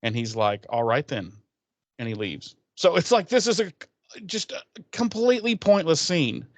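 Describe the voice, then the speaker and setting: A man's voice: sits high, very animated, normal speed, no room sound. A man co-hosting a podcast, recapping an episode in a casual, sarcastic, rambling way with plenty of swearing. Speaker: podcast co-host.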